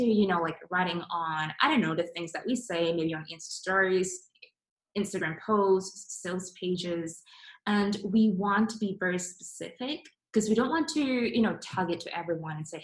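A young woman from Singapore hosting a casual interview, chatty and quick to restart her sentences. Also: fairly low pitch. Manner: chatty, restarts often, many fillers. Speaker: podcast host. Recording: echoey room.

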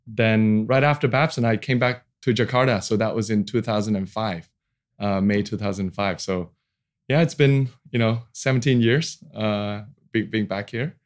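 A man's voice: on the low side, fairly even intonation, moderate pace. A man in his forties from Hong Kong, talking casually as an interview guest about his career, with hesitant phrasing. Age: 40s. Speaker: podcast guest, venture capital founder.

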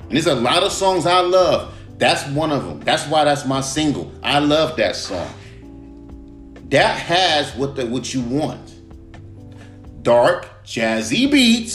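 A man with a smooth baritone voice, hosting a casual solo podcast and ranting about his own music, swearing often. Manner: animated, swears often, jumps to a high voice when mimicking fans. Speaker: podcast host and rapper. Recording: roomy room with guitar music behind.

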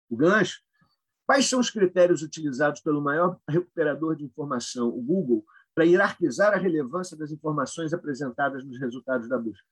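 A man's voice: on the high side, breathy edge, low-quality recording. A Brazilian man speaking as a panelist, reading a formal, erudite academic paper with brief informal asides.

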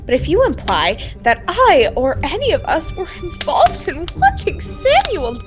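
A woman's voice: extremely high pitch, wildly swooping pitch, a little slow, music in the background, heavily acted. A woman playing a defensive, flustered woman suspect in an old-time radio drama, protesting indignantly. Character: defensive, indignant older sister under accusation. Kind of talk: 1940s radio drama acting.

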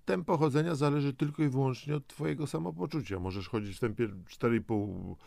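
A man's voice: medium pitch, fast, creaky, mellow, no room sound. A man giving semi-scripted motivational narration, coaxing and instructing the listener with rhetorical questions. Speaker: host of a health and lifestyle audio programme.

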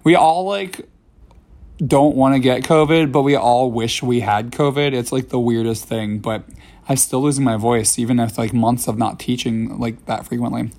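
A man in his thirties, slightly hoarse from a voice that is still recovering, talking casually on his own podcast with plenty of fillers and restarts. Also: medium pitch, steady tone, normal speed, a little mellow. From New York City. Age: 30s. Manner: rambling, many fillers and restarts. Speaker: podcast host. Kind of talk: casual solo podcast talk.

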